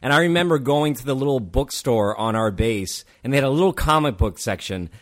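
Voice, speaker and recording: A man co-hosting a podcast in casual chat who grew up in Japan, playful and animated, often laughing and slipping into silly voices. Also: mid-range voice, a little fast. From Japan (grew up on US bases there). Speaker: podcast co-host.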